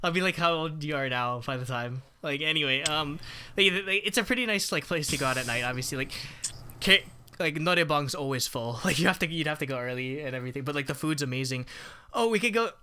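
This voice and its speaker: A man's voice: on the high side, expressive, fast talker, bright, forceful tone, slight background noise. A man in his twenties co-hosting a podcast, rambling in casual chat with lots of fillers and laughs. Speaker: podcast co-host.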